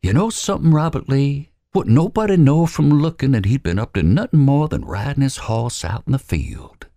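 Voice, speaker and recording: A man voicing a tough, irritable farmhand who talks gruffly. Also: medium pitch, expressive, moderate pace, warm tone, no room sound, clean studio sound, pitched above the performer's own voice, close to an everyday voice. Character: tough male farmhand, irritable and hard-edged.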